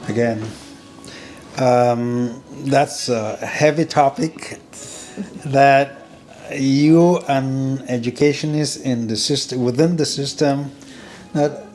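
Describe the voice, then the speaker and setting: A man in a mid-range voice hosts an interview, asking his questions in a measured, careful way. Faint music plays underneath him. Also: medium pitch, a little mellow. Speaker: interview host.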